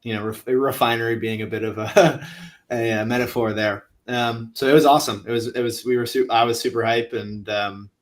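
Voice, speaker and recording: A man speaking as a panel guest in a casual discussion, eager but halting, with frequent restarts. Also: medium pitch, steady tone.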